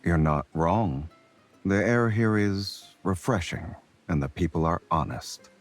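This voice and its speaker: Smug flirty game love interest, super low slow voice, breathy, music behind. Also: male voice. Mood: amused, sincere. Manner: unhurried, playful teasing. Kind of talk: romance game voice acting.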